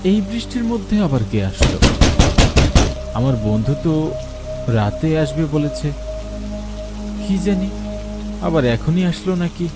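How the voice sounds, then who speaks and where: A man playing a sceptical, baffled storyteller in a first-person horror cartoon, with an expressive, questioning manner. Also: a little high, a little slow, long pauses, loud music behind the voice. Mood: suspenseful narrating. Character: sceptical, baffled man narrating his ghostly night encounter. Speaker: narrator and lead character.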